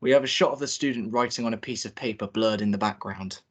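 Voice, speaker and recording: Young guy director reading stage directions, fast and hesitant, fairly low voice. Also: a bit bright, slightly rough. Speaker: director hosting a table read and reading narration. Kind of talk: informal hosting and script narration.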